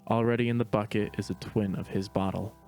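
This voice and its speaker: A man narrating stage directions in a steady, matter-of-fact reading voice. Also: lowish voice, brisk, soft background music. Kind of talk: plain read-aloud narration.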